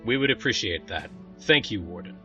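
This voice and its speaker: A man acting a commanding, earnest young warrior prince in an audio drama, firm and measured. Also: on the low side, animated, bright, forceful tone, recorded from a distance, muffled recording, soft background music. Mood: dry, resigned.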